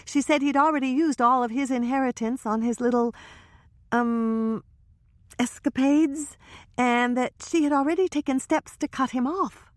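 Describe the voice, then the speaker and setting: A woman's voice: high voice, normal speed, no echo, studio quality, pitched above the performer's own voice, lightly acted. A woman voicing a nervous, gossipy young woman who talks in a hesitant, breathless way.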